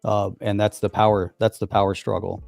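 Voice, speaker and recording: A man on a casual live stream chat, rambling quickly with lots of fillers. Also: on the low side, flat delivery, gravelly, a little mellow, no echo, soft background music. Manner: fast rambling, many "you know" fillers.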